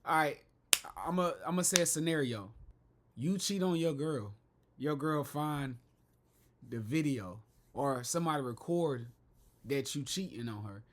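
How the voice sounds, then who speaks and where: A man's voice: a little high, lively intonation, measured pace, slight background noise. A man hosting a reaction video, talking casually in heavy slang, hyped and repeating his catchphrases.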